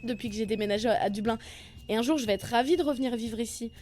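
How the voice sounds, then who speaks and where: A young woman from France with a Parisian accent, hosting a comedy podcast with a self-mocking, animated delivery and crude asides. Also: fairly high pitch, quick pace, slight background noise. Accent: Parisian French. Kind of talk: comic monologue podcast.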